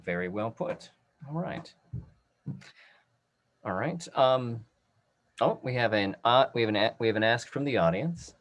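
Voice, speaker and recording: A man moderates an online audience talkback over a video call. He speaks informally and haltingly, often doubling back on phrases and excusing himself as he makes announcements. His voice sits at an ordinary male pitch. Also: animated. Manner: halting, repeats and restarts phrases, apologetic.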